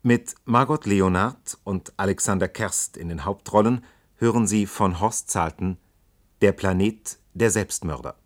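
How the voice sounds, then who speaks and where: A male radio announcer with a deep voice. He reads a scripted drama introduction formally, in measured and carefully separated phrases.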